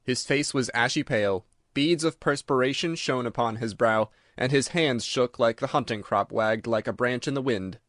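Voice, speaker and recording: A man's voice: mid-range voice, moderate pace, hardly any pauses, fairly bright tone, dry recording, clean studio sound. A man reading scripted narration of a classic detective story.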